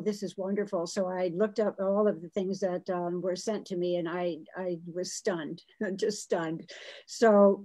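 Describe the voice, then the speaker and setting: A woman's voice: mid-range voice, a little mellow, low-quality recording. A middle-aged woman hosting a video call talk from a home room, speaking with lots of fillers, restarts and gushing thanks. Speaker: host.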